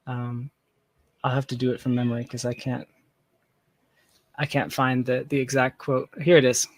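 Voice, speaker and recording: A man's voice: mid-range voice, monotone, normal speed, no room sound. A man speaking as an interview guest in casual, reflective conversation, searching for his phrasing as he goes. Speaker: interview guest, tech founder.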